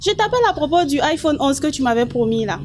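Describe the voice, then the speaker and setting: A man's voice: very animated, fast talker, slight background noise. A man voicing a whiny young woman who begs her boyfriend for a phone. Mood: sweet. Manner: shrill, indignant, sulky.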